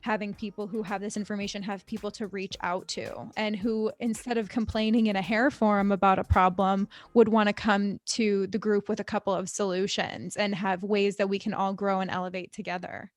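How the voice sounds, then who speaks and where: A woman hosting a podcast, a hair educator who tells her stories in an energetic, emphatic way. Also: medium pitch, fairly quick, few pauses, no room sound, studio quality. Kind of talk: podcast conversation.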